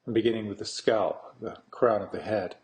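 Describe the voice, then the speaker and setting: Male meditation teacher, lowish voice, unhurried guided-practice delivery with deliberate pauses. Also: fairly even intonation, darkish tone. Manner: slow, deliberate, with long pauses between phrases.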